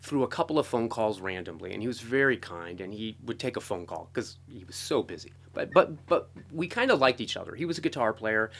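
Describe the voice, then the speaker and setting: A man's voice: fairly low pitch, lively intonation, brisk, hardly any pauses, fairly bright tone, slightly rough. A middle-aged American man talking casually as an interview guest, telling stories and often quoting himself. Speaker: interview guest, film and audio drama composer. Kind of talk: casual conversational storytelling.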